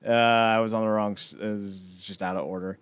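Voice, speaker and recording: A man's voice: on the low side, fairly even intonation, a little fast, clean tone, no echo. A man hosting a podcast in casual chat about films, rambling along with blunt, wry opinions. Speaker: podcast host.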